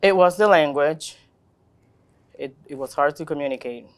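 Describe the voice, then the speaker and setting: A woman's voice: sits low, moderate pace. A woman from the Republic, giving short, hesitant answers to a student interviewer in a school studio. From Dominican Republic.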